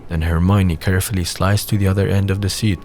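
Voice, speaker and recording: A man's voice: low voice, level tone, fast talker, a little mellow. A man reading scripted scene narration for an audio drama. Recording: faint background noise.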